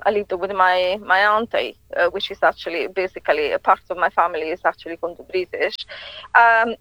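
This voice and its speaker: An Italian-accented woman speaks haltingly, often stopping and restarting her sentences. Her voice sits at an ordinary middle pitch. It comes through dull lo-fi radio audio that sounds dry and close. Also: no room sound. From Italy. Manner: halting, full of hesitations and restarts. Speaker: singer-songwriter guest. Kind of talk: radio interview answers.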